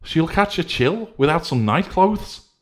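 A man's voice: fairly high pitch, lively intonation, unhurried, voice pushed up. A man voicing a prim, mannered male character who exclaims genteelly. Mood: dismayed.

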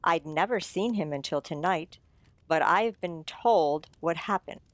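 A woman playing a suave mystery writer in a radio drama, telling the tale in a deliberate, teasing, theatrical manner. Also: fairly low pitch, dry recording, close to an everyday voice. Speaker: audio drama actor. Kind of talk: old-time radio mystery narration.

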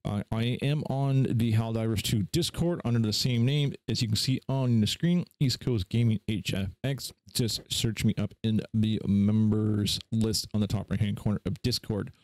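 A man hosting a casual screen-share software tutorial in an informal, offhand way. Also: on the low side, fairly even intonation, normal speed, few pauses, no echo. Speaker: tutorial host.

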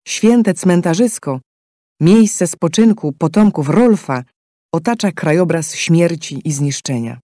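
Mellow, higher-voiced male game narrator. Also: dry recording, clean studio sound. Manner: solemn, measured. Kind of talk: scripted dramatic game story narration. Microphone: close studio microphone.

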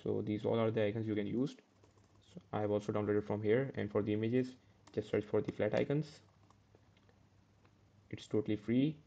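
A man's voice: fairly low pitch, flat delivery, normal speed, gravelly, long pauses, warm tone, dull audio. A man teaching a step-by-step coding tutorial over a screen recording, correcting himself as he goes. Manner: step-by-step, self-correcting with "uh" and "okay". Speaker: programming tutor.

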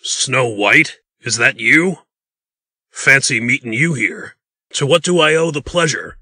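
Man as a raspy, resigned chained blacksmith. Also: mid-range voice, measured pace, sharp tone, dry recording, clean studio sound, full character voice. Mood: wry. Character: resigned, folksy middle-aged male blacksmith in chains. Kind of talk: amateur comic dub acting.

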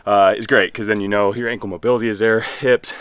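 A man's voice: lowish voice, fairly even intonation, fast talker, fairly bright tone, clear, resonant tone, no room sound. A man in his thirties giving a laid-back, enthusiastic voice-over as a fitness coach explaining exercises. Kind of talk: workout voice-over instruction. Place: outdoor home gym by a garage.

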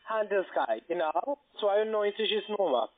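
A man with a foreign accent speaking non-native German, giving a halting phone sales pitch as a call centre agent and often checking with short tag questions. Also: expressive. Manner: halting, broken grammar, checks with "ja?" and "ne?". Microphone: telephone. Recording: muffled narrow phone line.

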